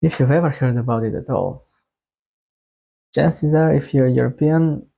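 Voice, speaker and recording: Slow, husky Bulgarian-accented man. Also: mid-range voice, dark tone. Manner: halting, hesitant delivery with long phrasing breaks. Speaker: YouTuber introducing a music channel. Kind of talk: casual to-camera monologue.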